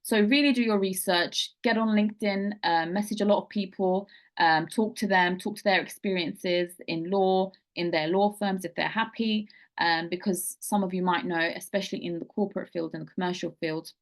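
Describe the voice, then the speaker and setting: A woman's voice: mid-range voice, steady tone, moderate pace. A woman hosting an online webinar, giving earnest career advice to students with many ums and repeated words for emphasis. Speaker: webinar host, mentor.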